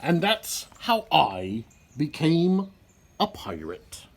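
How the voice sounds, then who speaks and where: Guy reading a kids book out loud, bit high and husky, takes his time. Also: wildly swooping pitch, extremely slow. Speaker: children's story reader. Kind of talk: expressive picture-book read-aloud.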